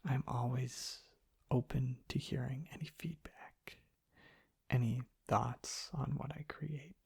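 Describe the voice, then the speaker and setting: A man speaking in a soft, hushed, half-whispered ASMR ramble, breathy and gravelly, in unhurried halting phrases. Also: mid-range voice, fairly even intonation. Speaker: ASMR content creator. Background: tapping and clicking sounds.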